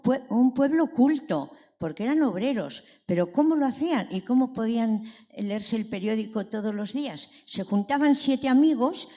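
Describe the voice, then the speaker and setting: A woman's voice: fairly high pitch, dark tone. An elderly woman from Barcelona with an accent from Spain, speaking from the audience and telling memories in a digressive storytelling way. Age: 70s or older. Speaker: audience member. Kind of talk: spoken personal reminiscence. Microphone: handed audience microphone. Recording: echoey hall, long reverb.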